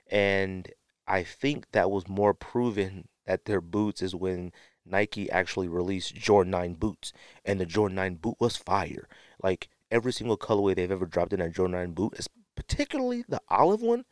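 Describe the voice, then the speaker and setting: Male podcast host, low smooth voice, unscripted slangy rambling, a touch of stuffy nose. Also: lively intonation, a little slow, no room sound, studio quality. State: sniffly, stuffy nose late at night. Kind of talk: casual solo sneaker podcast chat.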